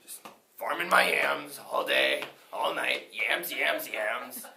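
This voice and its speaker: A woman's voice: a bass voice, huge pitch swings, slow, bright, forceful tone, slight background noise, full character voice. A woman playing a panicky male yam farmer who rambles frantically and insists he is not crazy. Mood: weary. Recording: roomy room, distant mic.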